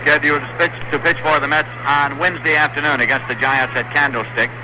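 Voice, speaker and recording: 1960s radio baseball announcer, man. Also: a little high. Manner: measured, steady play-by-play calling. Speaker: play-by-play announcer. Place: stadium broadcast booth. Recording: 1960s AM radio broadcast with stadium crowd noise. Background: crowd murmur.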